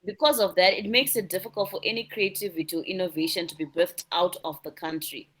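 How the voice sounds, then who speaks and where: A woman's voice: lowish voice, quick pace, fairly bright tone. A woman with a Zimbabwean accent, a guest speaking earnestly in an interview and often repeating words and asking "right?". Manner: earnest, repeats words when searching, frequent tag "right?". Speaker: guest, youth non-profit founder. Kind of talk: conversational interview.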